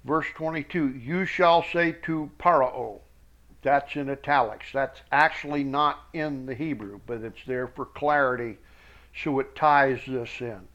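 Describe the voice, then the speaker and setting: A man preaching verse by verse, reading scripture aloud in emphatic, halting phrases and breaking off for explanatory asides. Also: medium pitch, fairly bright tone. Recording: dull, lo-fi sound.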